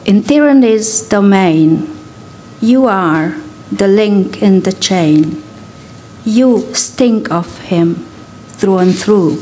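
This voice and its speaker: Woman, Hungarian accent, literary reading at an event, slow, measured, hesitant. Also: mid-range voice, dark tone, slight background noise. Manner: hesitant, with many ums in her introduction; measured, deliberate poetry reading. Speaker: guest reader. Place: event hall.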